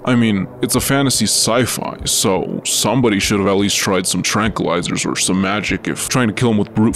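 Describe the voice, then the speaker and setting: A man hosting a video with informal scripted analysis, speaking in a conversational, matter-of-fact way. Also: on the low side. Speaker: video host. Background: music.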